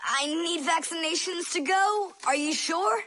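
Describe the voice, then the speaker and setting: A woman's voice: very high-pitched, measured pace, pushed and bright, exaggerated voice. A woman voicing an eager, easily exasperated young boy in a children's cartoon dub. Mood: eager, surprised.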